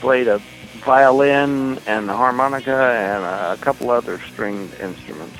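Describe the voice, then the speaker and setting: A man from Oklahoma being interviewed as a guitarist, answering in a thoughtful, soft-spoken way and pausing to recall things. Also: mid-range voice, faint music behind, rough recording. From Oklahoma, USA. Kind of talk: casual interview chat.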